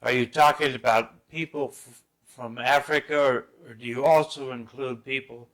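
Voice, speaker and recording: Male host, casual chat, halting delivery with fillers and dry humor, mid-pitched. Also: steady tone, a bit bright. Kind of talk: casual radio-style interview.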